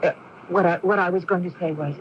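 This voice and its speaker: A woman's voice: lowish voice, fairly even intonation, a little fast, lightly acted. An actress playing a young woman with a split personality in a 1980s radio drama, speaking in a poised, conversational way. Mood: friendly. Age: young adult. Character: young woman with split personality, sporty Jane and aloof Vanity. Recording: noisy, muffled 1980s AM radio recording.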